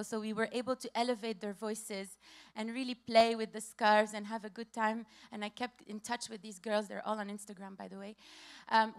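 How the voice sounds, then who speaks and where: A woman in her thirties from Lebanon, giving a hurried, passionate conference talk from a stage with slides, repeating key phrases. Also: on the high side. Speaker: conference speaker.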